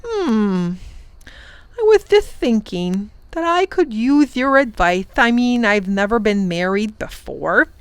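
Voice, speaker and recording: A woman voicing a gentle old male Wangdoodle king who speaks in an earnest, confiding way in a storybook reading. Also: a little high, expressive, slow, clear, resonant tone, no room sound. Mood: pleading.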